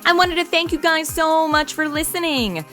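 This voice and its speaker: A woman's voice: very high voice, normal speed, music in the background. A woman playing a bubbly, self-absorbed modern teenage girl in a comedy audio drama, with gushing, slangy phrasing. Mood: perky.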